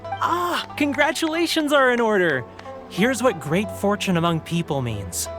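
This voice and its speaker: A man's voice: sits high, sing-song, unhurried. A man voicing a cheerful middle-aged fortune stall keeper with a jovial, showman-like manner, in a game cutscene. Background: faint game music.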